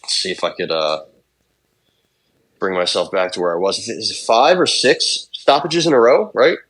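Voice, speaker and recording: Young man, lowish voice, laid-back, rambling, casual swearing, podcast co-host. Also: moderate pace, fairly bright tone, slightly rough. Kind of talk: casual sports chat.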